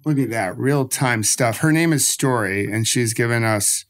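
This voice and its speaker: A man hosts a podcast interview from his home office. He talks at an ordinary middle pitch, relaxed and chatty, and keeps the conversation loose with casual fillers as he draws his guest out. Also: normal speed. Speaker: podcast host.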